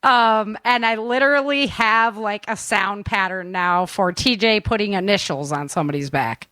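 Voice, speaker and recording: A woman's voice: medium pitch, moderate pace, pushed and bright, no echo. A woman co-hosting a casual online chat, speaking informally and enthusiastically with plenty of slang. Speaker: co-host and audio drama producer. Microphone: online call.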